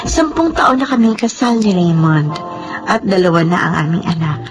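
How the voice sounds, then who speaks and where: A woman playing a devout, tender young orphaned woman in a Filipino radio drama, speaking slowly and with emotion. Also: fairly low pitch, sing-song, over music. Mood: hopeful, reflective. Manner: slow, emotional, earnest.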